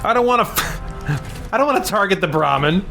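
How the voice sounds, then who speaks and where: A man's voice: sits high, lively intonation, moderate pace, creaky, sharp tone. A man hosting a let's play, thinking aloud in casual commentary as he goes. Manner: thinks aloud, muses to himself. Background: game music.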